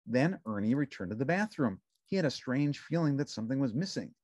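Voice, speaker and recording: A woman's voice: rumbling low, expressive, a little fast, darkish tone, no echo. A woman narrating a children's storybook aloud as the reader.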